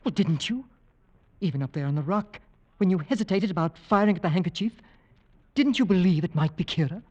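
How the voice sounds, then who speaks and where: An actor playing an earnest, driven and protective husband on a hunt, in intense theatrical 1940s radio drama style. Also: male voice, high voice, animated, brisk, darkish tone. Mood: anxious pleading. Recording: dull old radio broadcast recording.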